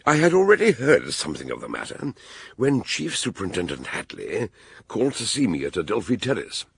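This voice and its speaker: A learned, eccentric British detective in a radio mystery. The voice is very husky and lowish, swinging widely in pitch as he muses, in a close, dry sound. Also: wildly swooping pitch, brisk, dry recording, exaggerated voice. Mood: measured, narrating. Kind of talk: British radio detective drama, part narration.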